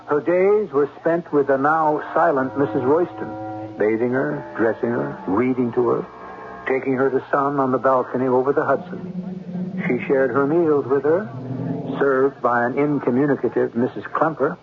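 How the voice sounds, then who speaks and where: An actor narrating a Gothic mystery in a measured scripted radio drama style. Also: male voice, mid-range voice, fairly even intonation, creaky, music in the background, close to an everyday voice. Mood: measured, ominous. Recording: crackly narrow-band old radio recording.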